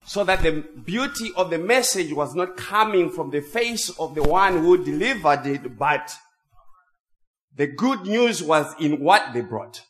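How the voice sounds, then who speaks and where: A man's voice: a little high, lively intonation, fairly bright tone. A man from Zimbabwe, for whom English is a second language, preaching a sermon as a guest speaker while he has a cold. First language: not English. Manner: slow, drawn-out emphatic phrasing.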